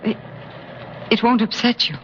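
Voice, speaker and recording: An actress playing a gentle, hesitant young woman in an old-time radio drama. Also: fairly high pitch, moderate pace, a little hiss or hum, natural voice. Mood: wistful. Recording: dull old radio recording with music behind.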